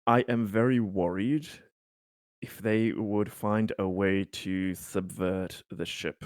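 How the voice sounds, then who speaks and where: A man roleplaying in a tabletop game discussion, speaking in a hesitant, halting way. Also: fairly low pitch, fairly even intonation, a little mellow, no room sound, studio quality.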